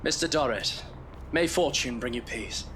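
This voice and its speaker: An actor playing a kind, earnest Victorian gentleman in an audio drama, tender and courteous in manner. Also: medium pitch, lively intonation, moderate pace, slight background noise, natural voice. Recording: echoey reverberant space, loud music behind.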